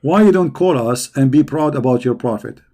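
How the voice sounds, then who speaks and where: A man with a gravelly, creaky voice at an ordinary middle pitch hosts a live debate stream. He answers viewers in a combative, mocking way and often repeats words while he gropes for the next phrase. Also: animated, fairly quick, mellow. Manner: combative and mocking toward questioners. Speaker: religious debate host.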